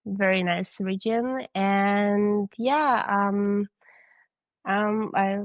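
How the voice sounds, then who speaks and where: A woman's voice: medium pitch, fairly even intonation, drawn-out words, no room sound, muffled recording. A young woman with a Polish accent, a guest in a casual interview, hesitant and searching for words in English. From Poland. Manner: hesitant, searching for words, frequent restarts and light laughs. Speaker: podcast guest, triathlete.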